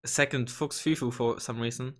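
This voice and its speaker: A young man with a slightly hoarse voice walks through a game tool in a casual tutorial. He rambles, restarts his sentences and swears now and then, talking into a desk microphone at a mid pitch. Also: fairly even intonation, fairly quick, a bit bright. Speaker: gaming channel host. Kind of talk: casual screen-recorded tutorial.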